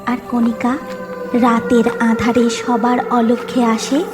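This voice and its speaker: A woman with a high voice serves as the storyteller. She reads scripted narration for a cartoon tale. Music plays clearly behind her. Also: level tone, slow.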